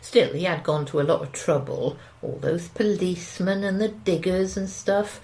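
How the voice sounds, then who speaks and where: A woman's voice: on the low side, moderate pace, a little mellow, natural voice. An actress playing a curtain-twitching suburban wife in an audio drama, gossipy, anxious and easily shocked, with a British accent and a hesitant, insinuating way of talking. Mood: reflective. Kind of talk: audio drama dialogue, naturalistic.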